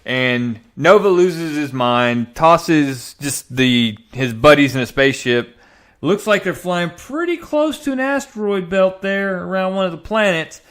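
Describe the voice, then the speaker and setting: A man's voice: on the high side, expressive, unhurried, a bit bright, clean tone. A man giving casual review commentary as a comic book reviewer, in a sarcastic, exasperated manner.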